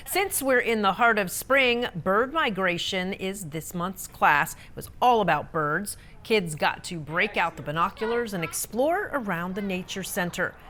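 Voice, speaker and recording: A woman's voice: on the low side, a bit bright, a little hiss or hum. A woman reporter reading scripted narration for local news stories.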